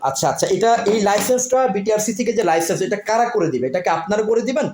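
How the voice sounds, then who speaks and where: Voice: mid-range voice, rapid-fire, darkish tone. A Bangladeshi interviewer asking questions in a product promo, repeating and summing up details to confirm them. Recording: echoey room.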